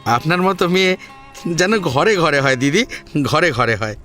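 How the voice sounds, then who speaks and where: A man's voice: on the high side, expressive, measured pace, bright, forceful tone, faint music behind. A man playing a humble, deferential cycle-rickshaw driver who speaks earnestly and reverently in an audio story. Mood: moved, reverent.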